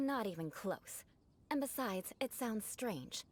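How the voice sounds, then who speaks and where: A woman's voice: on the high side, animated, slow pace, heavy background noise. A woman voicing a proud young noblewoman knight who speaks formally and aloofly, making dry threats of vengeance, in video game dialogue. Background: game music.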